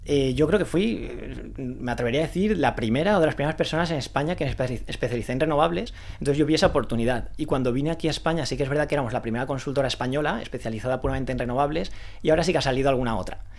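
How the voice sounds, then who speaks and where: Fast-talking man, guest founder. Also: mid-range voice, creaky. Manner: fast talker with many restarts and fillers. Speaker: founder of a renewable energy recruitment consultancy. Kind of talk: casual interview answers.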